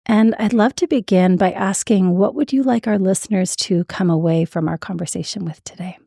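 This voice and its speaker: A woman's voice: mid-range voice, normal speed, vocal fry, warm tone, dry recording, clean studio sound. A woman hosting an interview podcast, speaking as an introducer and interviewer.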